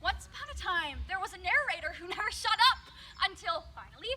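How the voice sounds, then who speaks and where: A woman's voice: very high-pitched, sing-song, a little fast, noisy background. A woman playing a headstrong, restless storybook potion maker in a fairytale film, with a pointed, theatrical way of talking back. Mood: taunting.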